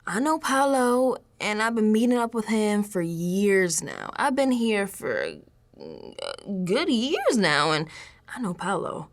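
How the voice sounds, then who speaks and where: A woman's voice: mid-range voice, big pitch swings, slow. A woman playing a streetwise, unsettled young American woman in an audio drama monologue, confiding in colloquial, emphatic speech. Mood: puzzled, insistent. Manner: colloquial, sassy, emphatic, dropping into whispers.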